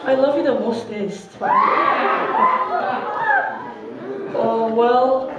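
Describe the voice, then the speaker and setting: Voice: medium pitch, a little slow, muffled recording, over a noisy crowd, close to an everyday voice. A performer playing a vain, overconfident fashion doll woman, boastful and gushing, in a comic stage monologue. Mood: gushing, lovey. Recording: echoey room, distant mic, faint noise.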